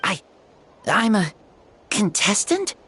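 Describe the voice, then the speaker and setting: Slow, hesitant, frail child. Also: female voice, mid-range voice, very animated, bright, forceful tone, exaggerated voice. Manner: halting, hesitant. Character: frail, shy young child, bewildered and hesitant. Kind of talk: anime game voice acting. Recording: music behind the voice.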